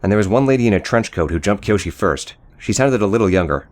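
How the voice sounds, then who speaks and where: A man playing a nervous, agitated high school boy in an audio drama, hesitantly reporting a crime. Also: lively intonation, quick pace, natural voice. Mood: urgent.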